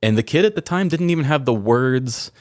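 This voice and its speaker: A man's voice: medium pitch, lively intonation, a little fast, a little mellow, no room sound, studio quality. A man talking informally and introspectively, as a podcast host in a candid personal conversation. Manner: introspective, informal, occasional swearing.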